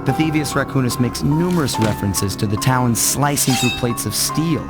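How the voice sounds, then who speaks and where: Man voicing a cool young raccoon thief over loud music. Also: medium pitch, moderate pace, natural voice. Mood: cool, wry. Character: suave young male raccoon master thief, cool and confident. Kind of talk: cartoon video game narration and dialogue. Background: loud game music.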